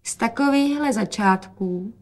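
A woman reader acts out an ailing, wrinkled father from a literary story. He sounds weary and wistful, speaking tenderly and quietly. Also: on the high side.

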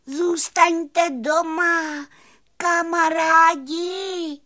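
A woman voicing a sweet, admiring little fairy who speaks with gentle, earnest exclamations in a children's story. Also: a little high, pitched above the performer's own voice. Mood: pleading call. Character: little female fairy, sweet, admiring, proud of her friends.

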